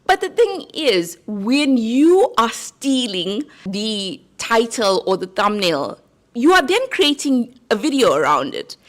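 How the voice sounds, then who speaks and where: A woman with a South African accent talks straight to her viewers in an easy, conversational way. Her voice sits a little high, and she keeps checking in with a quick "right?". It sounds like earnest, practical creator advice. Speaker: YouTube creator. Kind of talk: casual talking-head advice.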